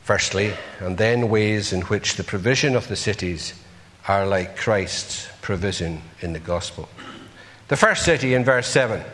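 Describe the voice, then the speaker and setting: Slow, earnest preacher man in a reverberant hall. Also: on the low side, fairly bright tone. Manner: earnest, asks the congregation rhetorical questions. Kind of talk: sermon.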